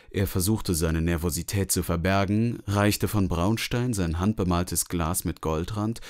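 A man's voice: sits low, a little mellow. A man giving scripted literary narration as the narrator of a story.

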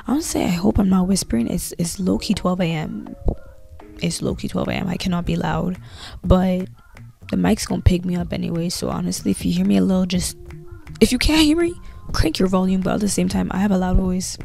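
A young woman with a cold chatting casually to camera about her faith, talking lively with her hands into a handheld mic in a small bedroom at night. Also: fairly low pitch, a little fast, gravelly. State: has a cold.

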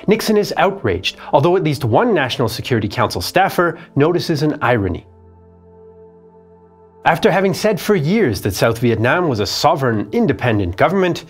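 Lively male documentary narrator. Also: fairly high pitch. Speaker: history documentary host. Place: dark studio set.

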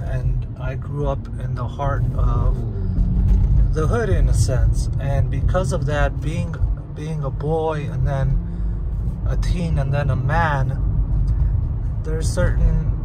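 A man in his thirties, born in the USSR and raised in Hollywood, talking bluntly and with plenty of profanity while driving at night. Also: medium pitch. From born in the USSR, raised in Hollywood, California. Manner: blunt, profane, conversational, lots of "man" and "right?". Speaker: vlogger. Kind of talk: casual monologue while driving. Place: inside a car driving at night on city streets. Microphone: phone or camera mounted on the dashboard. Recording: loud car and road noise.

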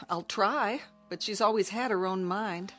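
A woman's voice: mid-range voice, a little slow, faint music behind, lightly acted. Woman playing a worried, protective mother. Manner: concerned, gently insistent. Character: worried, protective, sensible small-town mother. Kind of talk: modern radio drama acting.

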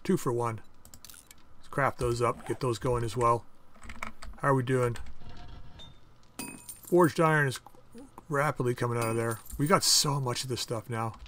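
A man hosting a casual game playthrough, chatting and thinking aloud as he crafts items, with his voice going a bit hoarse. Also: medium pitch, steady tone, measured pace, long pauses, a bit bright, slight background noise. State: losing his voice. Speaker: gaming channel host. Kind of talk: casual let's-play commentary.

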